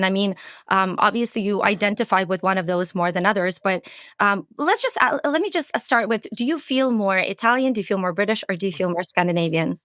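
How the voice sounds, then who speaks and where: A woman hosting a podcast who asks questions hesitantly, with many pauses and fillers. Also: mid-range voice, a bit bright, no room sound, clean studio sound. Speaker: podcast host. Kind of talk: casual interview conversation.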